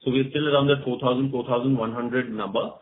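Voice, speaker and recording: A man's voice: mid-range voice, fairly even intonation, fast talker. A man with an Indian accent, a company executive answering questions on an earnings call, hesitant and repeating words. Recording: phone-like conference line with faint noise.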